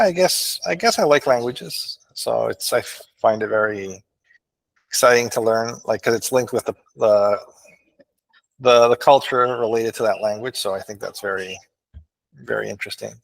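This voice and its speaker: A man's voice: lowish voice, measured pace, vocal fry, no room sound, low-quality recording. A man from Canada chatting casually as a guest, easygoing, often saying "like" and restarting his sentences. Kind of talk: casual chat.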